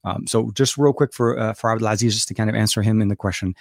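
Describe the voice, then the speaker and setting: Man from Lebanon, middle-aged, livestream host, rambling delivery full of fillers, gravelly tone. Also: lowish voice, fairly even intonation, quick pace, darkish tone, dry recording, studio quality. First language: Arabic. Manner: rambling with many fillers and restarts. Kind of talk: casual live Q&A.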